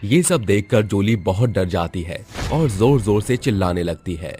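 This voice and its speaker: A man's voice: on the low side, lively intonation, moderate pace, over music. A man narrating a cartoon horror story in a scripted storytelling manner.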